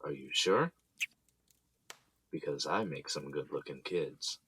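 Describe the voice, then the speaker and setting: A man's voice: very deep voice, slow pace, sharp tone, a little hiss or hum, full character voice. A man playing an easygoing, affectionate husband and father, playful and coaxing, in a naturalistic audio drama.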